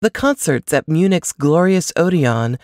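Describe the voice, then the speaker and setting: A woman's voice: low-pitched, lively intonation, measured pace, a little mellow, dry recording, clean studio sound. A woman reading a novel excerpt as scripted, literary audiobook narration.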